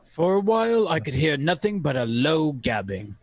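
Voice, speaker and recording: Animated, gravelly male radio-play narrator. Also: fairly high pitch, moderate pace, no echo. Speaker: narrator actor. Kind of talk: dramatic scripted radio narration.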